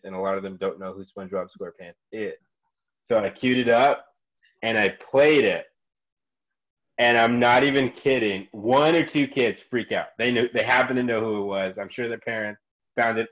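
Young man with a dull, lo-fi sound. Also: lowish voice. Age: 20s. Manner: animated storyteller who acts out reactions. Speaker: gym coach and guest. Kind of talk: casual chat.

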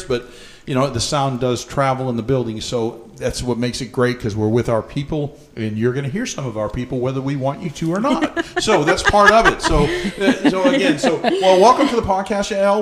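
A man co-hosting a podcast in a resort clubhouse, rambling and cracking corny dad jokes. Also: medium pitch. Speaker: podcast co-host. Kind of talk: casual chat. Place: resort clubhouse room with some echo.

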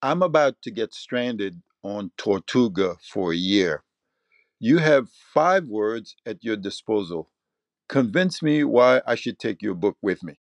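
A man's voice: on the low side, lively intonation, a little slow, no echo. A man hosting an interview, asking a playful question. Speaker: host.

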